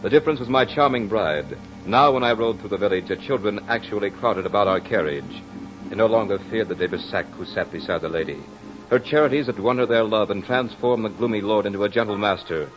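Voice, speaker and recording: Deep-voiced brooding nobleman played by a man, old radio. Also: expressive, fairly quick, natural voice. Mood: wistful, happy. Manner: earnest, theatrical, formal speech. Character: brooding French nobleman, former soldier, lovestruck and uneasy. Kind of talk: 1940s radio drama acting. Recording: dull old radio recording with music.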